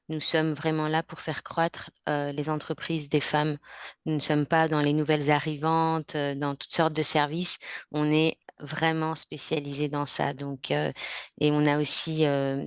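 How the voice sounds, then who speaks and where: A woman speaks in a very low, flat voice that comes through smooth and clear. The sound is dry and close, as she explains directly over a video call. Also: no room sound. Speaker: bilingual business advisor. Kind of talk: casual explanation in a webinar.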